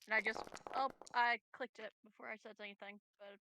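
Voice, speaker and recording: A woman's voice: on the high side, steady tone, a little fast, background noise. A woman chatting casually as a player in a tabletop game. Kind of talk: casual chat.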